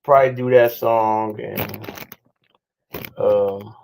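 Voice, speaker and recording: A hoarse man with an American accent telling a story in casual chat and acting out what others said. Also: fairly low pitch, little pitch movement, noisy background. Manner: slow, drawling storyteller who acts out other people's lines. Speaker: channel host. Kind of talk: casual storytelling with quoted dialogue and sung passages.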